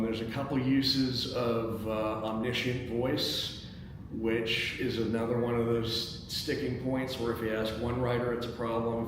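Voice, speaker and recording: Male workshop host, mid-range, thinking aloud with stumbles and repeats; distant mic in a noisy, very echoey hall. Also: medium pitch. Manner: hesitant, repeats words while thinking aloud. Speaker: host and stage-direction reader of a screenplay table read. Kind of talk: reading action lines and giving feedback to a group.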